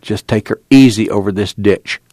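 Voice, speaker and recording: Man as a steady, plain-spoken country mechanic. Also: lowish voice, moderate pace, dry recording, close to an everyday voice. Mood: brisk, instructing. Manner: plain, folksy, instructing, clipped phrases.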